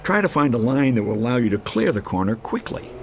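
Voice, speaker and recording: A man's voice: medium pitch, very animated, quick pace. A man reading scripted instructional narration for a racing video game tutorial, in an explanatory manner. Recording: engine and race car noise throughout. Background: loud race car engines.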